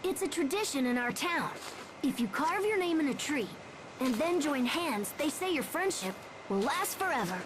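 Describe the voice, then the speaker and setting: A woman's voice: high-pitched, lively intonation, normal speed, background noise. A woman playing an eager, friendly young boy in an English-dubbed anime game. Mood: encouraging.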